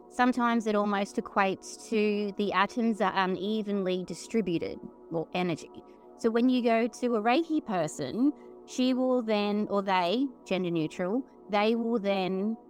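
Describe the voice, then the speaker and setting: An Australian woman hosting a podcast interview in a bubbly, chatty way. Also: medium pitch. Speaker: podcast host. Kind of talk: casual interview chat.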